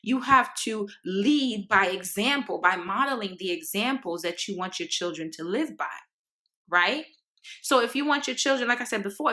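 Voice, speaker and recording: A woman's voice: mid-range voice, pushed and bright. A woman from Haiti talking earnestly to camera, lecturing her viewers with many fillers and restarts. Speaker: vlogger. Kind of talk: casual monologue to camera.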